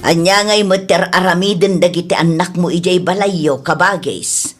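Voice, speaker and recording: A woman acts out a nosy neighbour in a radio drama. The voice is lowish, with a gossipy, disapproving, scolding delivery. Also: moderate pace, faint music behind, close to an everyday voice.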